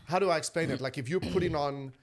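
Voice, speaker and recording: A man's voice: fairly high pitch, fast talker. A man from South Africa with a South African accent, a comedian chatting casually on a podcast, laughing often and swearing freely. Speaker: comedian guest.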